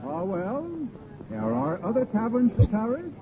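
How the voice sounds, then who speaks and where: Swooping, roguish vagabond poet. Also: male voice, sits high, wildly swooping pitch, a little slow, heavily acted. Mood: flippant, coaxing. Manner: teasing, flippant. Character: roguish, carefree young vagabond poet of medieval Paris. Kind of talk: 1930s radio acting. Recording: tinny 1930s radio broadcast, crowd noise.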